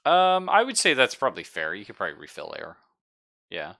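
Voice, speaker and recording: A man acting as game master in a tabletop roleplaying game, describing things conversationally and haltingly as he thinks aloud. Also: mid-range voice, wildly swooping pitch, vocal fry, sharp tone. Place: live-streamed game session.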